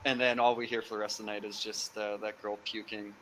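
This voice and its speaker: A young man, a guest on a podcast, telling a story in a casual, animated way. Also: on the low side, fast talker, a crowd in the background, poor audio. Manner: animated storyteller, acts out quoted people.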